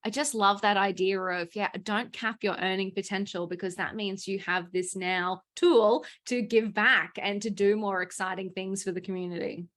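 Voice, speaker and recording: A woman interviewer leads a relaxed business conversation. She reacts with eager agreement and asks her questions in an easy, chatty way at a medium pitch. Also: normal speed, hardly any pauses. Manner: enthusiastic, effusive agreement. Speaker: podcast host. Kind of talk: casual interview chat.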